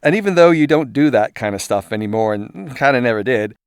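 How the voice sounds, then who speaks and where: A man's voice: mid-range voice, lively intonation, fairly quick, clean tone. A man, sounding middle-aged, reads a scripted first-person audiobook in an irreverent, sardonic, comically exaggerated way. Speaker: author reading his own audiobook. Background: music and soundscape.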